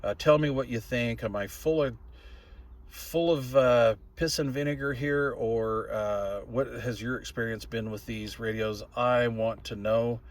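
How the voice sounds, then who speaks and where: A man's voice: mid-range voice, unhurried. An older man with a folksy, plain-spoken, rambling way of talking, giving a casual product review as a hobbyist. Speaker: radio hobbyist reviewer and video host.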